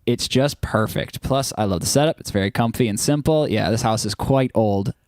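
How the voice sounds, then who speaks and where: A man's voice: mid-range voice, normal speed, darkish tone, dry recording. Chatty man in his thirties, dry sound. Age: 30s. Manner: reads viewer comments aloud, chatty. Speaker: co-host. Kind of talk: casual live Q&A chat.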